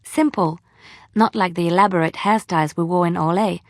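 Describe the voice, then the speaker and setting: A woman's voice: fairly low pitch, brisk, no echo, clean studio sound, lightly acted. An actress voicing a devout, playful young woman adventurer in a video game, sincere and chatty in manner. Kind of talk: video game character dialogue.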